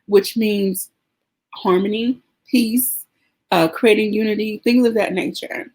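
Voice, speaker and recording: A woman hosting a podcast, talking sincerely and thoughtfully about her purpose, with pauses and "um" fillers. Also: medium pitch, fairly even intonation, a little mellow. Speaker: podcast host. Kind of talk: casual podcast conversation.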